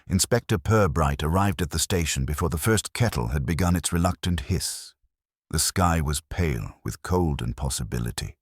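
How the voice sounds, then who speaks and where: A man reads scripted mystery narration in a measured, deliberate way, with dramatic pauses and a husky voice. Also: no room sound, studio quality. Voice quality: very deep, husky. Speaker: story narrator.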